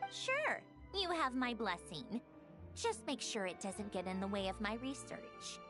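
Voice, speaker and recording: A woman's voice: medium pitch, sing-song, a little slow, over loud music. A woman playing a dry, long-suffering but generous researcher in a video game, with a wry delivery. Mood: wry, indulgent. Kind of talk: video game character dialogue.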